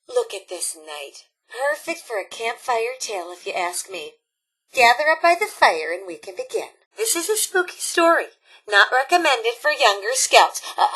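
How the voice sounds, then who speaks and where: Bright, animated robot scout leader. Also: female voice, fairly high pitch, slightly rough, heavily acted, poor audio. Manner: theatrical, folksy, encouraging. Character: cheerful, motherly robot scout leader telling spooky campfire tales. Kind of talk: video game voice acting, campfire storytelling.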